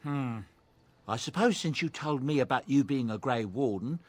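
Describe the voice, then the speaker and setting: A man's voice: mid-range voice, lively intonation, moderate pace, close to an everyday voice. A man voicing a genial older dwarf merchant in a fantasy game, telling stories in a courteous, theatrical, earnest way. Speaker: travelling merchant. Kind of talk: video game character dialogue, storytelling.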